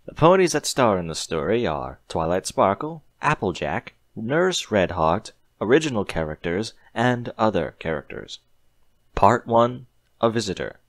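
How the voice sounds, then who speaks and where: Low-pitched man narrating with lively intonation. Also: no echo. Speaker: narrator reading fan fiction aloud. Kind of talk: scripted audiobook-style narration.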